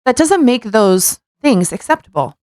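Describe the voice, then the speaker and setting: A woman's voice: a little high, sing-song, a little slow, a little mellow, no room sound, studio quality. A woman co-hosting a casual podcast. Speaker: podcast co-host.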